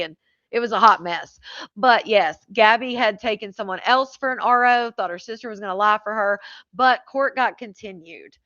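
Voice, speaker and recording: A woman's voice: mid-range voice, fairly bright tone, no room sound, dull audio. A woman hosting a long livestream, chatting casually with viewers and answering their comments, her voice a little tired after hours on air. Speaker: live stream host. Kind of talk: casual chat with live viewers.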